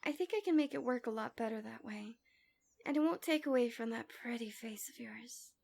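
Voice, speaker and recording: Soft, high-voiced, possessive villainess played by a woman. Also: brisk, creaky. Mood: doting, coaxing. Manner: soft, coaxing, often dropping to a whisper. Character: smug, possessive female villain doting on a captive. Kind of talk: ASMR fantasy roleplay.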